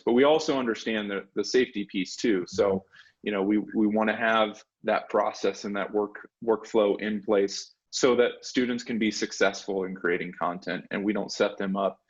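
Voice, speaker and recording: A man giving conversational, explanatory answers as an interviewee, pitching an education service and repeating words as he phrases things. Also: highs cut off. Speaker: interviewee, education software representative.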